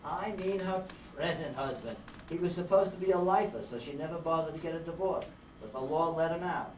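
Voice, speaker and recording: A man's voice: fairly high pitch, a little fast, darkish tone, close to an everyday voice. A man playing a slangy, wisecracking hard-boiled tough guy in an amateur radio detective play. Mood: blunt. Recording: distant echoey room, faint noise.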